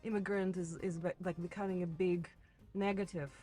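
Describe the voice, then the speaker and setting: A woman's voice: lowish voice, fairly even intonation, normal speed, mellow, faint music behind. Chatty woman in a car. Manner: chatty, with frequent restarts and many "right"s. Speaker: rideshare passenger. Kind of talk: casual conversation. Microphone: car interior mic.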